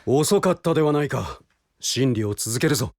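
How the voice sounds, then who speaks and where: A man's voice: mid-range voice, animated, moderate pace, background noise, lightly acted. A man playing a merciless, haughty prosecutor in an audio drama, with pompous, commanding phrasing. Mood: stern, cutting. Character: haughty, merciless male prosecutor pressing the accused. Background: background music.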